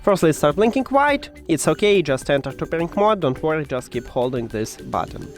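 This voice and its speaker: Non-native English man, lively higher-pitched tutorial voice. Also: a little fast, faint music behind. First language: not English. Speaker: tech tutorial presenter. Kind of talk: step-by-step spoken tutorial.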